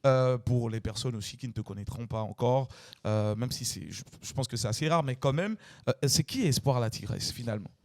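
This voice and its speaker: A man's voice: mid-range voice, fairly quick, no echo, studio quality. A man from Gabon hosting a talk show, emphatic and hyping the guest, asking the audience for applause.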